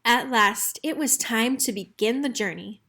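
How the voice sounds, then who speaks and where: Female storybook narrator, fairly high voice, expressive scripted read-aloud for children. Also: a little slow. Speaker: storybook reader.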